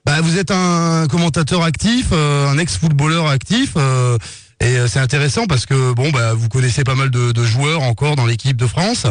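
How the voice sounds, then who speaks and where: A man's voice: medium pitch, moderate pace, no room sound, studio quality, natural voice. A man playing a polite, insistent marketing assistant for a betting company on a prank phone call, coaxing with hesitant sales talk. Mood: negotiating, coaxing. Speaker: prank caller.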